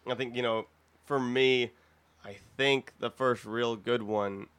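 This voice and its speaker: A man in a casual podcast chat, talking in a halting, rambling way and often interrupting himself. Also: medium pitch, fairly even intonation, a bit bright, clean tone. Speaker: podcast panelist. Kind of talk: casual group chat about films.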